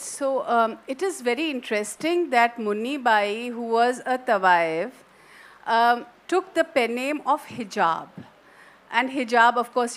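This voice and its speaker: A woman with a North Indian English accent speaks in a raised, bright register, circling her thoughts and restarting often. She talks as an author on a literary festival panel. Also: fairly high pitch. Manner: thoughtful, digressive, many restarts. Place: festival stage.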